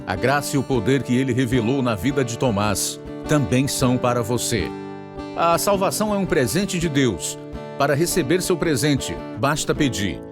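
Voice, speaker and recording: A man's voice: mid-range voice, expressive, normal speed. A man with a Brazilian accent hosting and announcing a radio program with scripted narration. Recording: guitar music under the voice.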